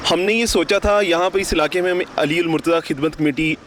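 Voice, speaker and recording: A man's voice: on the high side, fairly quick, a bit bright. A young man with a Pakistani accent answering interview questions as a volunteer organiser, earnest and fluent with pious phrasing. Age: young adult. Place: outdoors beside a city park. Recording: outdoor street noise, passing vehicles.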